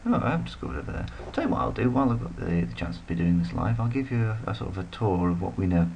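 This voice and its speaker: A man's voice: sits low, big pitch swings, very fast, creaky, darkish tone, a little hiss or hum. A man giving rambling, casual commentary while playing a strategy game, full of self-deprecating asides. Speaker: let's play commentator.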